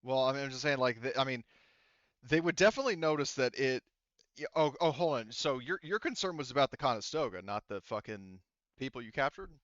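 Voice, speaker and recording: Smooth-voiced man running a game, dry sound. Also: medium pitch, lively intonation, brisk, a bit bright, dry recording, lo-fi sound. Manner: rambling, thinking aloud, casually profane. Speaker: game master. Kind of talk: casual tabletop roleplaying game talk.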